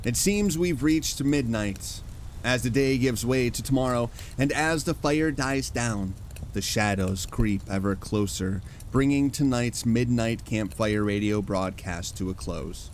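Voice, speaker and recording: A man's voice: medium pitch, lively intonation, a little slow, slight background noise. A man hosting a horror radio podcast, reading a moody scripted outro. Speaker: podcast host. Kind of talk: campfire-style scripted outro.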